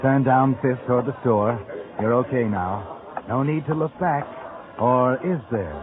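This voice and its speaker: A man's voice: medium pitch, a little slow, crowd noise, lightly acted. A man playing a sardonic narrator in a 1940s radio crime drama, needling with insinuating questions like a criminal's conscience. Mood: urgent, mocking. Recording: tinny, band-limited old radio recording.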